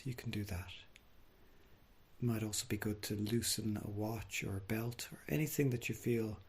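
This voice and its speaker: A man leading a guided body scan meditation, speaking gently and without hurry, leaving long pauses. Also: medium pitch, husky. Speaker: mindfulness meditation teacher.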